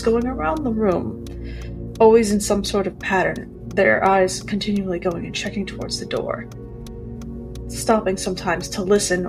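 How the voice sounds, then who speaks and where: A woman's voice: mid-range voice, vocal fry. A woman playing a tabletop role-playing game, descriptively narrating what her character does.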